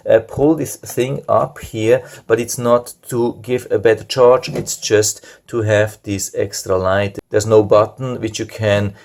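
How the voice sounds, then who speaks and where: A man with a fairly low voice talks through a product review without a script. He explains how things work in plain, casual words, circles back to his main points and is frank and critical about shortcomings. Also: gravelly, darkish tone.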